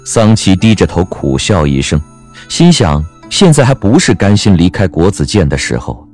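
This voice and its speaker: A man narrates a story with wry expression. His voice is fairly low and lively in pitch, with soft music underneath. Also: normal speed.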